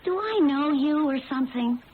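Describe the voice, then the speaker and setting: A brash, mocking kept woman in an old radio drama. Her slangy delivery is lively and swoops up and down. The recording is narrow and tinny, with a little noise. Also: sits high, moderate pace. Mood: wary. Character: brassy, flippant kept woman, a mocking gold-digger.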